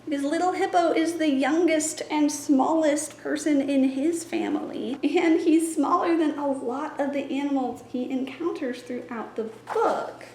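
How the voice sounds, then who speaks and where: A young woman with a high, bright voice talks in a chatty, upbeat, teacherly way. She sounds like she is in her twenties. Her voice echoes around a roomy space, with faint noise underneath. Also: measured pace, few pauses. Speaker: library science student and story time planner. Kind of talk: casual teaching vlog. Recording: echoey room.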